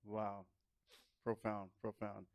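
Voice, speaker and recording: A man's voice: on the low side, little pitch movement, takes it slowly, no room sound. A man hosting a podcast interview, giving short affirming replies and thanking his guest. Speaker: podcast host. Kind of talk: casual interview chat.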